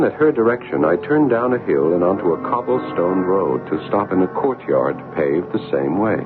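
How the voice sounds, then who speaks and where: An actor playing a polite, hesitant American writer visiting as a stranger in an old-time radio drama. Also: male voice, deep voice. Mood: reflective. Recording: narrow-band old radio, music behind.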